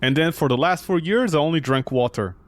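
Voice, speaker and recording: A man's voice: on the high side, lively intonation, fairly quick. A man giving casual, joking, sarcastic commentary while he plays through a horror game. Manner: sarcastic, jokey.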